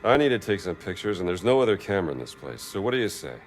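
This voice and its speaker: An actor playing a wry, rugged American adventurer in a cinematic video game. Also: male voice, deep voice, big pitch swings, racing, soft background music. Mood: wry, determined.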